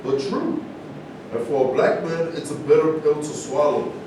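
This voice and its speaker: A man's voice: a little high, normal speed, natural voice. A man as a proud fallen champion, very echoey. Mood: bitter, rueful. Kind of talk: staged play reading. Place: large indoor room at a live staged reading, distant mic. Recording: very echoey, noisy room from far off.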